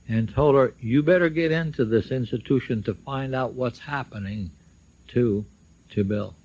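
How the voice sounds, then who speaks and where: Older male speaker, husky and unhurried, emphatic public storytelling on a dull lo-fi radio recording. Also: medium pitch, a little mellow. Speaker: speaker at a symposium, recounting his own legal case. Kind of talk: public speech, personal storytelling.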